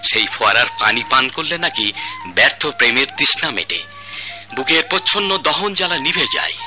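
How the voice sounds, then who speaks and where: An actor playing a contented elderly husband who talks tenderly and poetically, in a radio drama. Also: male voice, fairly high pitch, wildly swooping pitch, moderate pace, pushed and bright, soft background music, exaggerated voice. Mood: reflective. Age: older.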